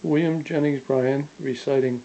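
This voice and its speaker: A man casually introducing an old record as a collector, speaking in a hesitant, halting way on a home recording. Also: mid-range voice, fairly even intonation, dull audio, noisy background.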